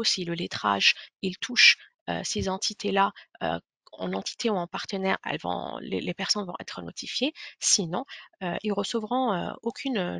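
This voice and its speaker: Woman, webinar presenter, explanatory, hesitant pauses, creaky, dry close sound. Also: mid-range voice, pushed and bright, dry recording. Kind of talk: software demo walkthrough.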